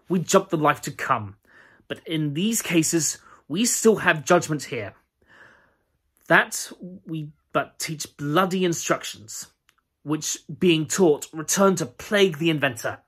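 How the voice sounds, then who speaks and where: A young man playing a guilt-torn Scottish nobleman, speaking Shakespearean verse in a measured, brooding way with a husky voice. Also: fairly high pitch, a bit bright, full character voice. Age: young adult. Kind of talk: Shakespeare audition monologue.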